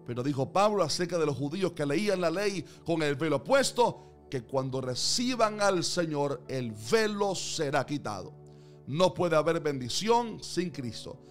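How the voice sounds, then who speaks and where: Male preacher for a Bible sermon, slightly high-pitched, earnest and declamatory, with faint music underneath. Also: lively intonation, unhurried. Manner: emphatic, repetitive phrasing in building lists.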